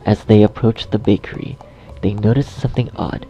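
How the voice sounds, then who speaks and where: Low, gravelly male story narrator. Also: fairly even intonation, fairly quick, mellow, highs cut off. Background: faint music.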